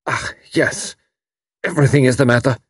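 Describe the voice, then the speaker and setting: A man's voice: medium pitch, normal speed, dry recording, clean studio sound, pitched above the performer's own voice, close to an everyday voice. A man voicing an anguished, bitter young German refugee scientist who pleads with intensity.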